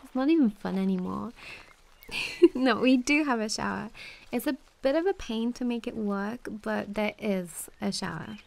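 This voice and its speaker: A young woman playing a warm, playful best friend in an intimate ASMR roleplay, chatting casually with a giggly, teasing, hesitant manner. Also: fairly high pitch, animated, normal speed, gravelly. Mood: teasing, fond.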